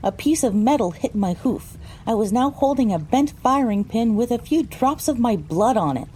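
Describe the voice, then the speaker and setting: A woman's voice: a little high, animated, normal speed, warm tone, a little hiss or hum, close to an everyday voice, low-quality recording. A woman voicing a pony who narrates her own story, reading scripted first-person audio drama narration. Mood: measured.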